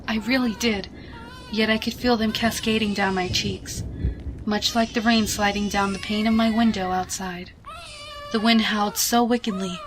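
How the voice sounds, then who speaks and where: A woman giving a hushed, expressive, dramatic reading of a suspense story, with music behind her. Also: mid-range voice, noisy background. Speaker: fan fiction reader.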